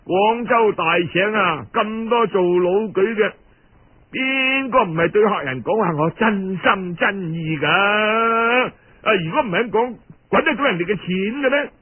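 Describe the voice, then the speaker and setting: A man voicing a pompous, greedy older Cantonese uncle in a storytelling performance, full of exclamations and drawn-out interjections. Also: sits high, animated, slightly rough, phone-quality sound, exaggerated voice. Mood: scornful. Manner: exclamatory, moralizing then wheedling, drawn-out interjections.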